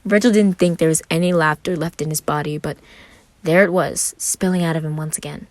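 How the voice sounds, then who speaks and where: Low-voiced woman narrating a story, close and dry. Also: steady tone, fairly quick, dry recording. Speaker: fan fiction reader.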